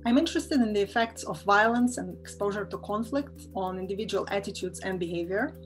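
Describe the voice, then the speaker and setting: Woman professor from Israel, measured research talk with fillers, faint music underneath. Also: medium pitch, lively intonation. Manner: measured, with frequent filler pauses. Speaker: assistant professor. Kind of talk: interview-style talk about research.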